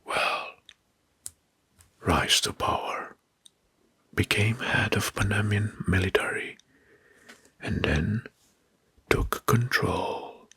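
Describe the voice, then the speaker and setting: A man narrating facts as ASMR in a hushed, breathy whisper, halting and softly repeating words. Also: on the low side. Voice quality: breathy, mostly unvoiced whisper.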